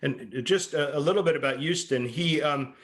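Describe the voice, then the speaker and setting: A man speaking in an informal lecture discussion, with a halting, conversational delivery. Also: fairly high pitch, fairly bright tone.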